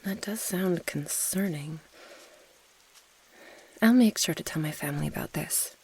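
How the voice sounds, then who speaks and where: A young mage girl acted by a woman speaks in a soft, breathy voice with some creak. She is earnest and protective, in the close style of a fantasy ASMR roleplay. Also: on the low side, unhurried, rough recording. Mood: concerned.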